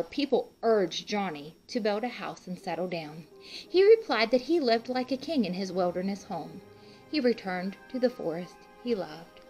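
A woman reading a picture book aloud to young children, pausing to explain things simply and speaking to them directly as a teacher would. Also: mid-range voice, rough recording. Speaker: children's read-aloud host and art teacher.

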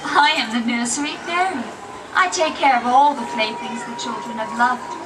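A woman playing a gentle, kindly nursery fairy in a children's puppet show. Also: on the high side, moderate pace, noisy background, lightly acted. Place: puppet theatre, heard from the audience. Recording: distant puppet theatre recording, music behind.

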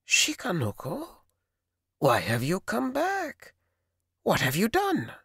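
A man's voice: wildly swooping pitch, slow, vocal fry, pushed and bright, husky, no room sound, full character voice. A shrieking old sorcerer of the mountains. His voice climbs far above the reader's own and cracks into wails of grief and fury. The sound is close and dry. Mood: alarmed. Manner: shrill, wailing, anguished. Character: old male mountain sorcerer, grieving and raging.

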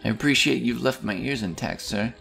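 A man's voice: mid-range voice, a little fast, gravelly, pushed and bright, soft background music, pitched above the performer's own voice. A man voicing a small, nervous, pleading army captain in a fantasy audiobook, whining and deferential to his superior. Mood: timid gratitude.

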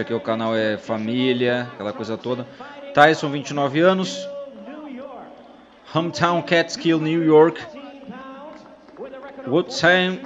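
A man hosting and commentating casually over old fight footage, joking with his co-host. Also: mid-range voice. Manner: chatty, jokes with his co-host. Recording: dull sound with loud crowd behind. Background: crowd noise from fight footage.

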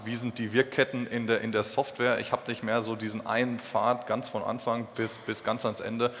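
A man's voice: on the low side, a bit bright. A young adult man giving a technical conference presentation in an explanatory, informal way. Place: stage in a concrete-walled event hall. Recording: echoey hall with clear background noise.

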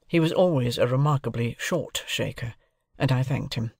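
A man's voice: mid-range voice, normal speed, breathy edge, dry recording, studio quality. A man reading scripted literary narration as an audiobook narrator.

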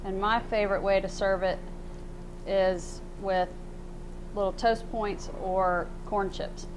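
A woman's voice: mid-range voice, steady tone, slow pace, background noise. Chatty woman hosting a cooking show. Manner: chatty and friendly, with y'all and fillers. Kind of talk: casual cooking demonstration. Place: large studio kitchen indoors.

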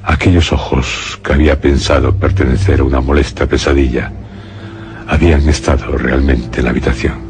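A man with a Castilian Spanish accent narrating a suspense radio drama in a grave, measured storytelling manner. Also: very deep voice, little pitch movement, darkish tone, a little hiss or hum.

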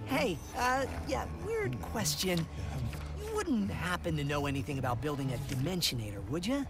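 An earnest young feline alien hero, friendly and open. The pitch moves around expressively, in video game style. Music plays clearly underneath. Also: male voice, sing-song, normal speed. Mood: friendly, hesitant. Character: young heroic male Lombax, cat-like alien, earnest and friendly. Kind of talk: video game cutscene acting. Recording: loud music behind.

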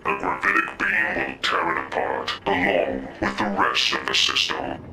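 Very deep, menacing villain. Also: male voice, flat delivery, moderate pace, background noise. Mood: cold menace. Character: menacing male villain promising a doomsday weapon to his master. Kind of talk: video game cutscene acting.

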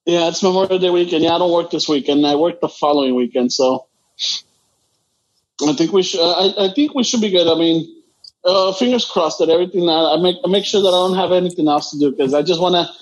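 A man's voice: fairly high pitch, fast, lo-fi sound. A man with a Chicano Los Angeles accent, co-hosting a casual podcast chat, rambling, swearing and switching between English and Spanish. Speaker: podcast co-host.

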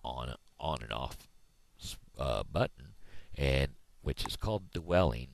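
A man's voice: a bass voice, expressive, takes it slowly, vocal fry, dry recording. A man in his fifties from Missouri, rambling in a folksy, drawling way on his video blog, speaking into a headset mic in a small room. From Missouri, USA. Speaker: video blogger. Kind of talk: casual rambling monologue. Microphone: headset microphone.

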